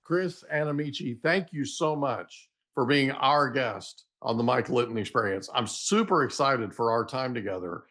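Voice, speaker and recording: A man's voice: mid-range voice, moderate pace, hardly any pauses, a bit bright. A man hosting a podcast interview, asking questions and giving friendly, short replies. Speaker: podcast host.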